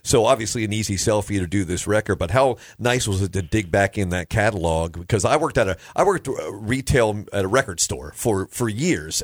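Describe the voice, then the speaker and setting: A man's voice: lowish voice, no echo. A man hosting a morning rock radio show, enthusiastic and full of hype as he interviews his co-host. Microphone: studio microphone.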